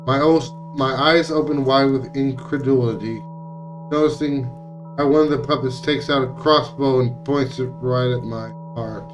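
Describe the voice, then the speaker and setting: A man's voice: medium pitch, fairly even intonation, normal speed, far from the mic, low-quality recording. A man reading visual novel text aloud as dramatic narration, now and then stumbling over words and rereading them. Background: music.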